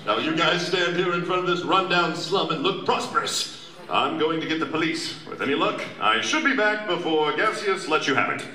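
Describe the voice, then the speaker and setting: A man's voice: fairly high pitch, normal speed, sharp tone. A man playing a cheery, cocksure amateur sleuth with corny old-time slang in a live comic radio play. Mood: confident. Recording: echoey convention hall, distant mic, audience noise.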